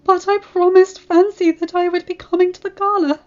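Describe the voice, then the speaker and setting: Woman doing a fancy drama-queen pony lady, high and showy, dull lo-fi audio. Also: takes it slowly, warm tone. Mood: dramatic. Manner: theatrical and emotional. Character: elegant dramatic female unicorn fashionista.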